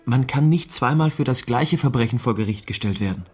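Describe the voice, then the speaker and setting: A man's voice: medium pitch, a little fast, darkish tone, slightly rough, close to an everyday voice. An actor playing a smug, argumentative young man in a radio drama, confident, insistent and matter-of-fact. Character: cocksure young know-it-all, argumentative, smug.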